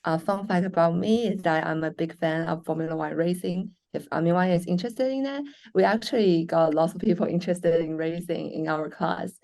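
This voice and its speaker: A woman's voice: on the low side, fairly quick, creaky, hardly any pauses, darkish tone. A woman in her twenties from Shanghai, China, introducing herself casually as a student on a video call. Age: 20s. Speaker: graduate student panelist. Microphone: video call microphone.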